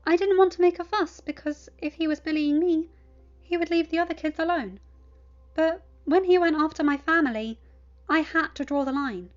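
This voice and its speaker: A woman's voice: extremely high pitch, normal speed, faint music behind, pitched above the performer's own voice. A woman voicing a polite, earnest, slightly awkward teenage boy in a story reading, speaking respectfully and candidly with some hesitation. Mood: earnest, apologetic. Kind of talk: audiobook character voice for fan fiction. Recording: dull lo-fi home recording.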